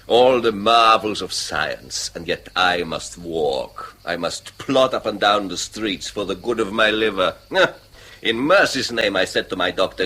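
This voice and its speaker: A man playing a jovial, self-indulgent older gentleman, theatrically self-pitying, in a radio drama. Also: fairly low pitch, full character voice. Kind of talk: British stage-style radio drama acting.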